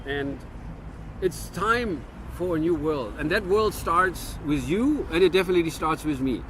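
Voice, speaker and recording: A man's voice: fairly high pitch, expressive, unhurried, a bit bright, slight background noise. A man in his fifties from Germany with a German-American accent, musing earnestly to camera in a reflective monologue with frequent restarts. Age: 50s. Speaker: vlogger.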